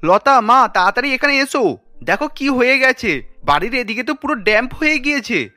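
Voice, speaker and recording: Man acting a very high-pitched exasperated husband. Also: sing-song, a little fast, sharp tone, soft background music, exaggerated voice. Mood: alarmed. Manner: exasperated, reproachful. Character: exasperated young husband who grudgingly gives in. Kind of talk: animated cartoon story acting.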